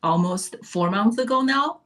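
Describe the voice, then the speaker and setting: A woman from China, a guest in a casual interview, who talks thoughtfully and pauses often on fillers. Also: mid-range voice, lively intonation, fairly bright tone.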